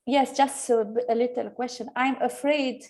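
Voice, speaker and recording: Arabic-speaking teacher lady on a call, halting, hunting for words, bit high voice. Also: steady tone, darkish tone. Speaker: teacher and trainer. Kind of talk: participant asking a question on an online call.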